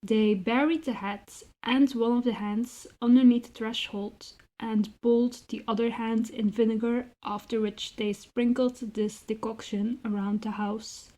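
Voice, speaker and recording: A woman writer answering interview questions in a measured, articulate and reflective way with rather formal phrasing. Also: a little high, steady tone, dark tone. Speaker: interviewed writer and zine co-founder.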